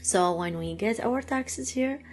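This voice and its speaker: Slow, halting woman from Iraq. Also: fairly high pitch, lively intonation. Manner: halting, many fillers and restarts, second-language phrasing. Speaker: interviewee. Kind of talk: personal story, casual.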